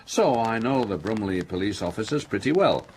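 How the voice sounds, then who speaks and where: An actor playing a pompous, self-important middle-aged factory owner, blustering and dismissive in a radio play. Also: male voice, on the low side, lively intonation, normal speed, slight background noise, close to an everyday voice, poor audio. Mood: self-satisfied.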